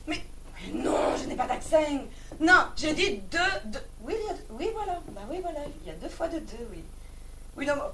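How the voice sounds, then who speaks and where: A woman performer playing an excitable, indignant, naive woman in a theatrical one-woman comedy monologue, exclaiming melodramatically and repeating her words. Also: high-pitched, moderate pace. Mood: exasperated. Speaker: comic stage performer. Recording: distant mic, noisy live room recording.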